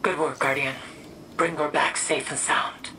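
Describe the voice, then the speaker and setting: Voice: medium pitch, steady tone, measured pace, noisy background, natural voice. A performer playing a friendly, focused quest-giver in a video game, briefing an ally in an earnest, conversational way. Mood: businesslike.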